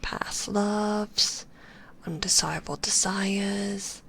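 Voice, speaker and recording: A woman voicing a possessive, sweetly manipulative female magician, whispering in a soft, cooing and softly condescending way. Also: medium pitch, steady tone, very slow, vocal fry, a little hiss or hum, heavily acted. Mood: doting. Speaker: ASMR roleplay performer. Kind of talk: whispered ASMR roleplay.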